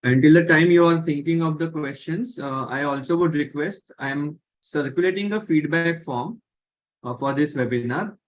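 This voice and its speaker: A man's voice: fairly high pitch, moderate pace. A man with an Indian accent, politely moderating an online webinar as its host.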